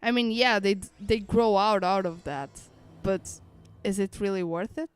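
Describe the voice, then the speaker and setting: Very clear-voiced woman streamer. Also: mid-range voice, normal speed, faint music behind. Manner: reflective, repeats and rephrases points. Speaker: streamer reacting to a game. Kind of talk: casual live commentary.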